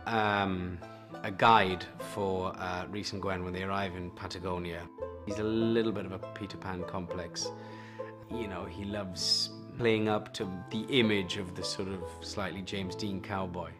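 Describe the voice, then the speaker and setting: A man with a low voice muses in a relaxed way about a character in a film interview. He pauses on fillers as he talks. Guitar music plays clearly behind him. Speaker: actor. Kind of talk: documentary interview.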